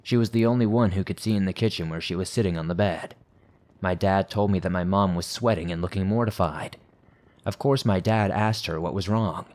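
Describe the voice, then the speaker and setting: A man with a fairly low voice narrates scary listener stories for his podcast. He reads in a steady, measured way, keeping the telling even and unhurried. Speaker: horror podcast host. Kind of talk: scripted horror story narration.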